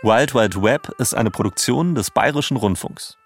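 A man's voice: mid-range voice, moderate pace. A man with a standard German accent reading scripted narration as a podcast co-host. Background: music bed.

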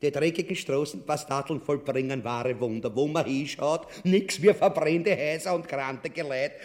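A man acts out a grotesque, pompous little tyrant with a high-pitched voice and a broad Viennese accent. He blusters and whines, greedy and cowardly, in coarse comic stage style. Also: moderate pace, darkish tone, lightly acted. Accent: Viennese Austrian.

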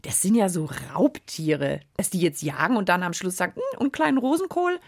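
A woman's voice: fairly low pitch, expressive, moderate pace, no echo. A woman co-hosting a children's radio show in informal chat, musing aloud close to a studio microphone.

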